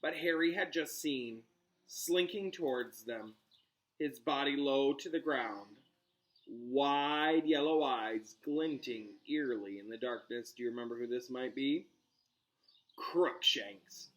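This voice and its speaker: A man reading a storybook aloud like a teacher, expressive and dramatic, pausing on key words. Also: mid-range voice, rough recording.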